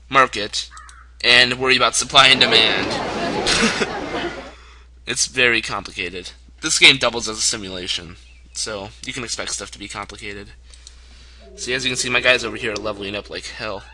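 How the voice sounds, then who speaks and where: A young man with a hoarse voice, casually commenting on a strategy game he is playing at home, rambling and chuckling often. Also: on the low side. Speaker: let's play gamer. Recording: steady background noise.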